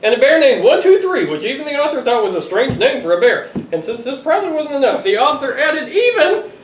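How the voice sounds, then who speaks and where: A middle-aged man reading a picture book aloud to children, expressive and playfully exasperated. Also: extremely high pitch, brisk. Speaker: father reading to his children. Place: living room sofa, indoors. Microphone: camera a few feet away. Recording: roomy, distant home recording with noise.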